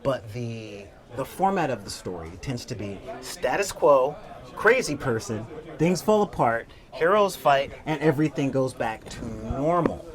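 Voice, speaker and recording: A man's voice: medium pitch, unhurried. Middle-aged man chatting over a crowd. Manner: animated storyteller, acts out quoted voices. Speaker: panel guest. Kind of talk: casual panel chat. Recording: crowd noise, wind on mic.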